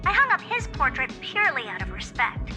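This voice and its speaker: A woman's voice: high-pitched, wildly swooping pitch, fairly quick. A woman voicing a proud, eccentric, youthful female genius scientist in a video game, self-assured and theatrical, delivering scripted monologues. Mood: proud, matter-of-fact. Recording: music behind the voice. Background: music.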